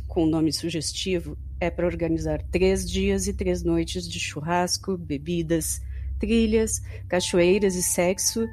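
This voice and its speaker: A woman's voice: lowish voice, darkish tone, slightly rough. Chatty Brazilian young woman, music behind. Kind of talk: audio drama acting, diary-like monologue.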